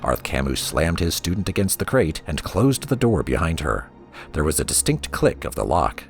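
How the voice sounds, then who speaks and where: A man reading a story aloud as a storybook narrator, with a theatrical, expressive delivery. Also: rumbling low, normal speed, creaky, soft background music. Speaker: lore reader for a gaming let's play.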